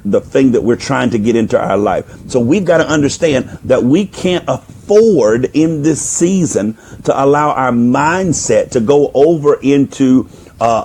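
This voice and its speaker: A man speaking as a life coach and minister, preaching on a live stream into a microphone. His voice is hoarse and nearly gone, and he repeats phrases as he urges listeners on. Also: medium pitch, dark tone. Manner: repeats phrases for emphasis, urging and exhorting. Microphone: microphone, put on partway through.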